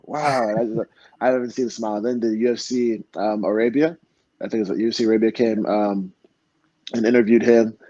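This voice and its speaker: A man's voice: medium pitch, dark tone, no room sound, lo-fi sound. A young man from Florida telling earnest, heartfelt stories in a casual interview, full of fillers and restarts. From Florida, United States. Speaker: MMA fighter guest.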